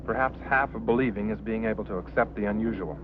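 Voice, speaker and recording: An American actor playing an earnest pilot who takes mysteries seriously, in vintage film drama style. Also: male voice, on the low side, fast, natural voice. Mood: earnest, insistent. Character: earnest male pilot open to UFO mysteries. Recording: muffled, noisy old film soundtrack.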